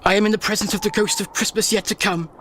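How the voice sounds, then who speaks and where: An actor playing a humbled, fearful old miser, earnest and pleading in formal radio play speech. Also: male voice, sits high, fairly even intonation, fast talker, background noise, natural voice. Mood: awed, pleading.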